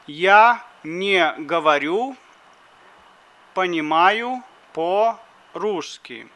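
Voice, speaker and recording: A man reads drill phrases for a language lesson, pronouncing each word with great care. He speaks very slowly. His voice is fairly high and smooth. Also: lively intonation, sharp tone. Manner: deliberate, carefully articulated phrases. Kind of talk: slow phrasebook drill reading.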